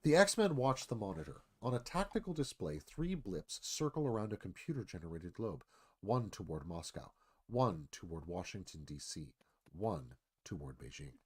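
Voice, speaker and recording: A man's voice: low-pitched, very animated, normal speed, a little mellow, husky. A man reading dramatic scripted narration as screenplay narrator, in clipped phrases.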